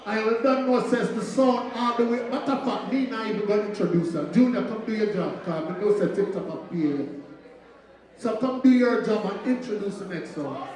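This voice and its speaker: A man's voice: high-pitched, moderate pace, a little mellow. Man joking on a mic. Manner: loose, joking, patois. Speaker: MC at a sound system reunion. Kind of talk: live mic talk to a crowd. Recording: echoey hall with crowd. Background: crowd noise.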